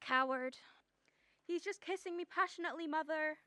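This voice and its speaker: A woman's voice: high-pitched, moderate pace, pushed and bright, no room sound. A young woman playing a teasing, self-assured sweetheart in a school radio drama, wry and pointed. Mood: exasperated. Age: young adult.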